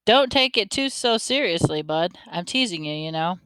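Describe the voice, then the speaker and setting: A chatty grandfatherly man, folksy and fond of teasing, as a woman reads him aloud in a storybook. His voice is smooth and full, and it sounds close and dry with no room around it. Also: lowish voice, lively intonation, normal speed, fairly bright tone, no room sound, rough recording. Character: friendly teasing older man, grandfather, army veteran.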